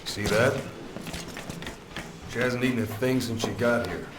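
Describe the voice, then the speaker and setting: An actor playing a sombre military officer in a video game cutscene, speaking in measured, clipped phrases. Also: male voice, mid-range voice, echoey room, distant mic, noisy background, lightly acted. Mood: grave, guarded. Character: sombre male military intelligence officer explaining a strange prisoner.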